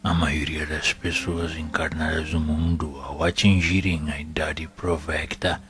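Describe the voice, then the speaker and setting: Man playing a deep, solemn spirit mentor. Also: flat delivery. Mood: calm, instructive. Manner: solemn, measured, formal, instructive. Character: wise, serene male spirit mentor and minister. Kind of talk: audio drama, solemn didactic dialogue. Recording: music playing behind the voice.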